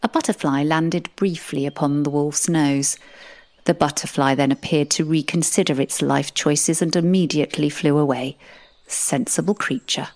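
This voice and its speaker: A woman with a low voice narrates a comic audio drama in the first person. Her storytelling is dry and sardonic, delivered as the narrator living through the tale. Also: steady tone, moderate pace, darkish tone.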